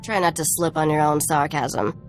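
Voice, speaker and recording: A woman's voice: sits low, fairly even intonation, normal speed, faint music behind, close to an everyday voice. An actress playing a tough, haughty woman smuggler in a video game, dry, sarcastic and self-assured.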